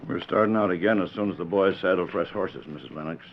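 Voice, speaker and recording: An actor playing a folksy, determined Old West sheriff in a 1940s radio western, courteous and plain-spoken. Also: male voice, sits low, quick pace, natural voice. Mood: firm, courteous. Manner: courteous frontier plain speech. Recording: muffled 1940s radio recording, faint hiss.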